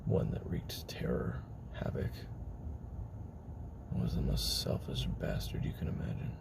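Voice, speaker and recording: A man playing a weary, guarded former assassin in a confessional audio roleplay, murmuring haltingly with a gravelly, breathy voice. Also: extremely low pitch, big pitch swings, in a whisper, full character voice. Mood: grim, confiding. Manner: halting, hesitant, self-doubting, trailing pauses.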